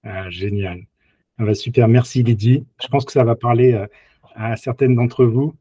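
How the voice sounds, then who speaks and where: A man with a slightly husky, medium-pitched voice hosts an online group in a casual, encouraging way. The audio is dry and a bit dull. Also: fairly even intonation, moderate pace, mellow, dry recording. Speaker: host of an online training group. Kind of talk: casual hosting. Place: online video call.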